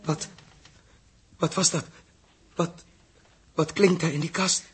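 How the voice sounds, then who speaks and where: A man's voice: a little high, fairly even intonation, takes it slowly, breathy edge, full character voice. An actor playing a weary, bewildered and anxious man in a 1960s Dutch radio play, speaking hesitantly and repeating himself. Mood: bewildered, uneasy. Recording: 1961 radio recording, music behind.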